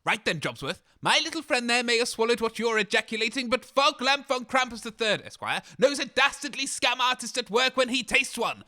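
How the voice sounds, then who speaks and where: Man as a pompous, sharp, high-pitched scheming broadcaster. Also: expressive, brisk, few pauses, full character voice. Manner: pompous, theatrical, florid. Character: pompous, scheming, huffy, destitute male broadcaster. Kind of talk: comedy audio drama acting.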